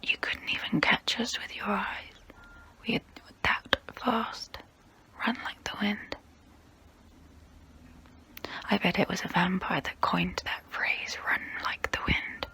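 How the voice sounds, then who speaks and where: Casting: ancient female vampire played by a woman for ASMR role play. Breathy whisper, crisp bright edge, unhurried, proud yet wistful. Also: mid-range voice, steady tone. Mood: wistful pride. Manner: slow, intimate, close-up, deliberate pauses.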